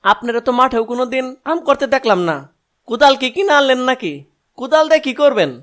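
A man's voice: extremely high pitch, fast talker, fairly bright tone. A man voicing a nosy, prying village neighbour in a comedy cartoon, with a colloquial Bangladeshi accent.

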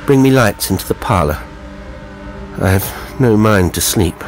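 A man's voice: lowish voice, lively intonation, unhurried, over music. A man voicing an agitated, fearful nobleman with exclamatory, archaic speech in a horror story. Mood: uneasy, commanding.

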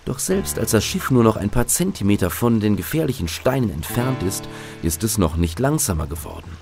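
A man's voice: on the low side, very animated, brisk, vocal fry, music in the background. A man narrating a scripted children's radio play as its host.

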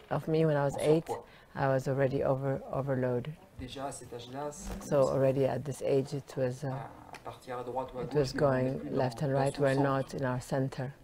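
A woman answering interview questions in a measured, reflective way. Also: a bass voice.

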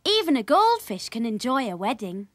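Earnest small boy for a children's story , voiced by a woman: high pitch, eager and plaintive. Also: sing-song, normal speed, no echo, clean studio sound. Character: earnest young boy devoted to his pets.

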